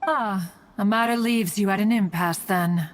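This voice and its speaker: Woman doing an ancient spirit, aloof, teasing, formal archaic speech, mid pitch, game dialogue. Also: measured pace, a little hiss or hum, lightly acted. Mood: stately, teasing. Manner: formal archaic speech, calls herself "one".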